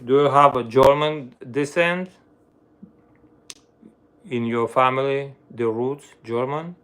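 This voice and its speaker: A middle-aged man speaks English with an Armenian accent, slowly and without hurry. He pauses often with "uh" as he hunts for words, and his grammar slips now and then. His voice sits at an ordinary middle pitch. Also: fairly bright tone, clear, resonant tone. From Armenia, grew up in the Soviet Union. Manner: searches for words, fillers, broken grammar. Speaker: livestream host, violinist. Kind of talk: casual live-stream chat with viewers.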